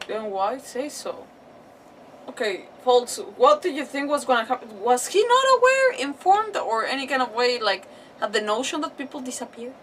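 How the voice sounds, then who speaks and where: A woman with a slightly high voice chats casually as she streams a video game. She rambles, halts and hunts for words. She sounds a little away from the mic, with faint noise behind her. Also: sing-song, recorded from a distance, rough recording. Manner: rambling, halting, word-searching. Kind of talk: casual gaming commentary. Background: video game audio.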